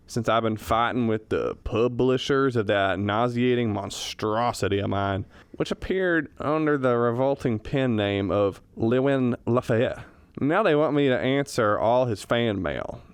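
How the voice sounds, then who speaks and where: A man's voice: medium pitch, clear, resonant tone, no echo. A man acting a self-mocking history professor who grumbles in florid, wry phrases in a radio comedy.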